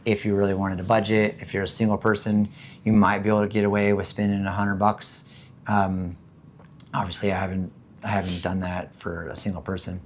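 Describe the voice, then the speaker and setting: Man, very low voice, hoarse after an illness, casual rambling chat, lots of ums. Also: flat delivery, brisk, a little hiss or hum. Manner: rambling, many fillers and restarts. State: hoarse after being sick. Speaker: vlogger. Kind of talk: casual chat about living costs. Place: home in the Philippines.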